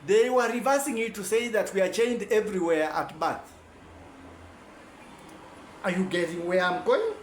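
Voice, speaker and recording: A man's voice: normal speed, pauses often. A man giving a rambling, preachy talk full of rhetorical questions. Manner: preachy, rhetorical questions, wide swings in pitch and loudness. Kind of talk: rambling lecture. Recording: echoey room, faint background noise.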